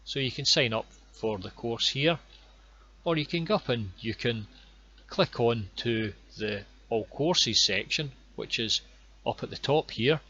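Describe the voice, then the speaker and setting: Bright-voiced Scottish man explaining. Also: medium pitch, expressive, husky, dull audio. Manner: plain, unhurried explaining with frequent ums and repeated words. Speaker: online course instructor. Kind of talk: screen-recorded course walkthrough.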